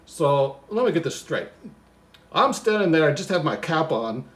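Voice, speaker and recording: A man's voice: a little high, very animated, moderate pace, a bit bright. A man playing a goofy, baffled storybook reader who mixes poem recital with slangy, mock-indignant muttered asides. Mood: bemused. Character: Floyd, goofy, baffled, easily sidetracked male storybook reader. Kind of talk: comic poem reading with rambling asides.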